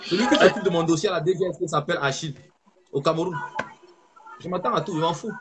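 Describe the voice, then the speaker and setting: A man giving an impassioned political speech as an activist, fervent and preaching, stressing slow phrases. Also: fairly high pitch, a crowd in the background.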